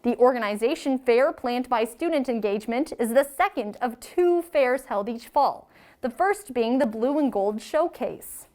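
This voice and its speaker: A woman's voice: fairly high pitch, expressive, moderate pace, darkish tone. A young woman reading scripted news as a student reporter. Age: young adult.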